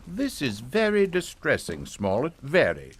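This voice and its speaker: A man's voice: medium pitch, huge pitch swings, unhurried, slightly rough, full character voice. An actor playing a genteel, middle-aged family man in a suspense drama, pompous and formal with a falsely jovial manner. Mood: smoothly genial. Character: genteel English family patriarch, smoothly hypocritical and unflappable. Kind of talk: radio suspense drama acting.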